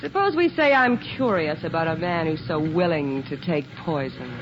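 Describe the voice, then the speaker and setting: An actress playing a bold, taunting nightclub singer in a 1940s radio melodrama, with a challenging, dramatic delivery. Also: female voice, deep voice, animated, moderate pace. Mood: teasing. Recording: dull vintage radio recording with noise.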